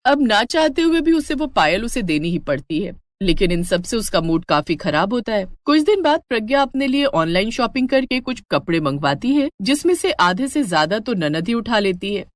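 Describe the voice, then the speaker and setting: A woman storyteller reads a scripted family tale. Her intonation is very lively at a medium pitch, and the sound is dry and close. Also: normal speed, hardly any pauses, no echo.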